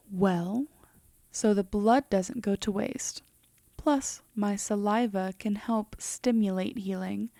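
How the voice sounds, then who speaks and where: A woman playing a composed, teasing female demon in an intimate roleplay audio drama, often slipping into a breathy half-whisper. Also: medium pitch, warm tone, no room sound, clean studio sound. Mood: cool, attentive.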